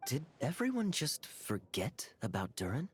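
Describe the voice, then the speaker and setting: A man playing an easygoing, observant young adventurer in a video game, conversational and expressive. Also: mid-range voice, a little slow, slight background noise. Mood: puzzled.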